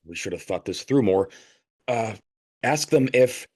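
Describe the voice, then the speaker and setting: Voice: medium pitch, expressive, a little slow, darkish tone. An actor in an audio play voicing an anxious, exasperated hotel guest who sputters and hesitates wryly. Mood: wry, doubtful.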